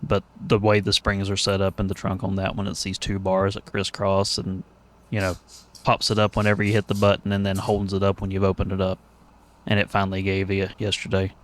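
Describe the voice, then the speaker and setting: Low-voiced man hosting a joking podcast. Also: monotone, brisk. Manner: jokey, profane banter. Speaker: podcast host. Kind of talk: casual chat.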